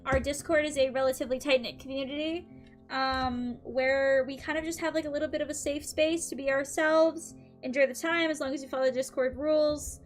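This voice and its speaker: A young woman streaming, chatting casually and upbeat with her viewers between tarot readings, her throat a little dry. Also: sits high. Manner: chatty and upbeat, frequent "um" and light laughs. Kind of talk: casual live-stream chat.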